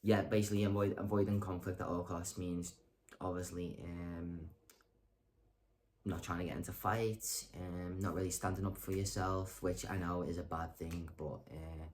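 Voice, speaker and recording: A young man vlogging in a casual monologue, rambling and hesitant, with lots of ums and self-corrections and deadpan self-mocking humor. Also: low-pitched, normal speed, slight background noise. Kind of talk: casual talking-to-camera monologue.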